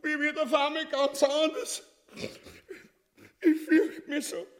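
A grotesque, pompous little tyrant with a high-pitched voice and a broad Viennese accent. He blusters and whines, greedy and cowardly, in coarse comic stage style. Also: level tone, takes it slowly. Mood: whimpering panic. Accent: Viennese Austrian.

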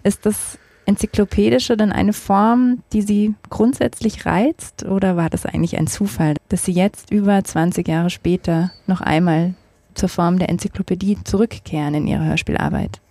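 A woman interviewer putting prepared questions in a measured, articulate way. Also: mid-range voice, steady tone, warm tone, dry recording.

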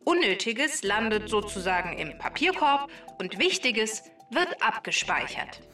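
An echoing, slightly distant female database explains things with crisp precision over music. The voice is bright and matter-of-fact, a touch conceited, like scripted children's podcast narration. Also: mid-range voice, sing-song, measured pace. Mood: factual. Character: talking female database, factual, slightly conceited robot helper. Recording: roomy echo, distant, music underneath.

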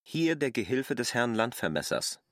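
An actor playing a calm, stubborn man posing as an assistant in a radio play, terse and measured. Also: medium pitch. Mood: calm, insistent.

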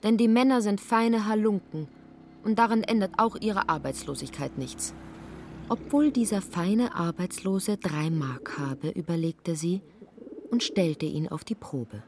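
A woman's voice: medium pitch, a little slow, darkish tone, slight background noise. A woman reading literary narration expressively, slipping into characters' lines. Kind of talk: scripted literary narration for radio.

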